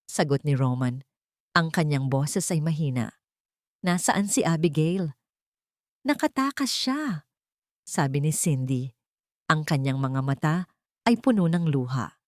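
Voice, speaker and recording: A woman's voice: sits low, dry recording, clean studio sound. A woman narrating a scripted dramatic story as an audiobook reader.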